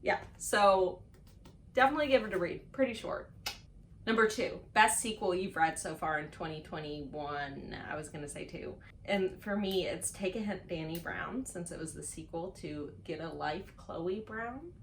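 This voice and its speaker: A woman's voice: fairly low pitch, expressive, normal speed, a little hiss or hum. A woman chatting casually to camera as a book reviewer, playful and self-mocking, stretching words for dramatic emphasis. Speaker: book reviewer on a video channel.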